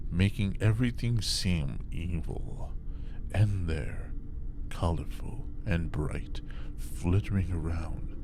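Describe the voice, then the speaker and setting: A man playing a solemn, ominous storyteller, narrating a scripted comedy horror audio drama in a deliberate manner. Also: rumbling low, full character voice. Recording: noticeable background noise.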